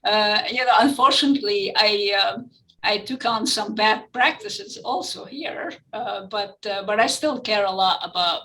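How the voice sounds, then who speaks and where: A woman's voice: mid-range voice, unhurried, pushed and bright, poor audio. A middle-aged woman with a French-influenced Lebanese accent, giving earnest, explanatory answers in an interview. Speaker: interview guest, physician-researcher.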